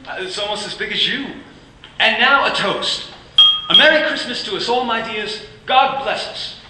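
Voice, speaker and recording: A man's voice: high-pitched, moderate pace, lightly acted. Male actor as a cheerful clerk, radio play, faint hiss. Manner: warm, laughing. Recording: echoey room with faint hiss.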